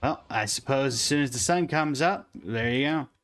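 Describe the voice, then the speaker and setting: A man's voice: medium pitch, moderate pace, a bit bright. A man streaming a game with casual, musing commentary, thinking aloud as he plays.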